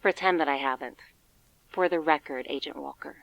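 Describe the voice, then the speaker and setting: A composed official with a notably low voice. She questions in a clinical, measured way, formal and probing, like an audio drama interrogation. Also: gravelly, lo-fi sound. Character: composed, formal female interviewer at a secret agency.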